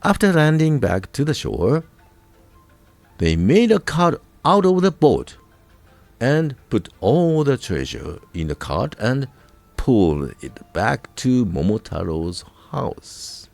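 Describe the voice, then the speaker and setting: A male storyteller with a Japanese accent narrates a folk tale over faint music. His delivery is slow and deliberate, with very animated, sweeping intonation that gives dramatic weight to key words. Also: medium pitch, vocal fry, no room sound. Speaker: storyteller, radio voice crew narrator.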